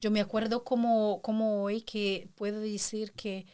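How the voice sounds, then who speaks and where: A woman's voice: mid-range voice, little pitch movement, unhurried, clear, resonant tone. Chatty middle-aged woman host. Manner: searching for words, warm and chatty with frequent restarts. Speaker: podcast host. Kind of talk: casual interview chat.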